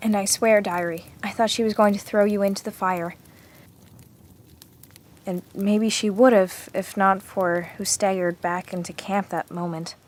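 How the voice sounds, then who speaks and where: A woman's voice: mid-range voice, steady tone, measured pace, lightly acted. An actress playing an earnest, guarded teenage girl who confides in her diary and bristles when challenged, in a radio drama. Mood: confiding. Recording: music under the dialogue.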